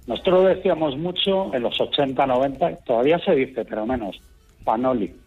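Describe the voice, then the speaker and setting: A man calling in to a radio talk show, telling his stories in a calm, unhurried way. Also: mid-range voice, slightly rough, soft background music. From Extremadura, raised in Madrid. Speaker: caller. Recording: muffled phone line.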